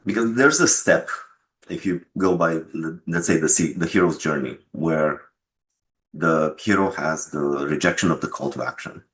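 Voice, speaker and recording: A man explaining his creative choices in an interview, measured in manner, on a noisy mic with clicks. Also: very deep voice, fairly bright tone. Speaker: game developer being interviewed.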